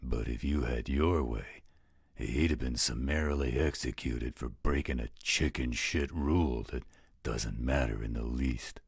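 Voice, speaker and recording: A man plays a protective werewolf guardian with an extremely low, rasping voice. He is blunt and coarse-mouthed, speaking slowly in a close, dry roleplay audio drama. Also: no room sound, full character voice. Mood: scornful, blunt.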